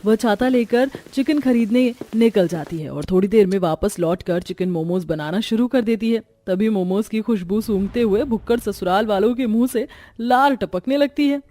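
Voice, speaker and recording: A woman's voice: mid-range voice, normal speed, mellow. A woman delivering scripted storytelling narration for a cartoon tale.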